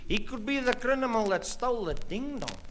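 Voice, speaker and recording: A man's voice: very high voice, very animated, a little fast, a little hiss or hum. A man playing a dim-witted police constable with a South African accent in a radio comedy. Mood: dopey.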